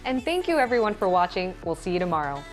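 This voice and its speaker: Lively low-pitched female TV host. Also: quick pace, faint music behind. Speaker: host of a live morning discussion show. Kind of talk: TV studio presenting. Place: TV studio.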